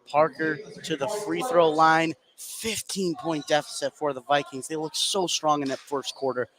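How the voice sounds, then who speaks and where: A man doing live play-by-play radio commentary of a high school basketball game, with excitable delivery. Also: moderate pace, crowd noise. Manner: excitable, voice swinging up on big plays. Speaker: play-by-play sports announcer. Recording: clearly noisy live gym broadcast. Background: gymnasium game noise.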